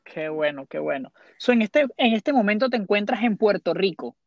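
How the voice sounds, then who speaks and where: A man's voice: sits high, lively intonation, moderate pace, no room sound, dull audio. A man hosting a podcast who introduces his guest and interviews her in an upbeat way, repeating phrases and dropping English fillers. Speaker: podcast host.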